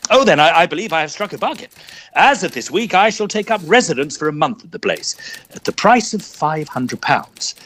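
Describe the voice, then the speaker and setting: A man's voice: a little high, very animated, brisk, a bit bright. A man playing an eager, inquisitive Edwardian ghost hunter in an audio drama, with theatrical, formal diction. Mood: slightly flustered. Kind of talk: audio drama narration and dialogue.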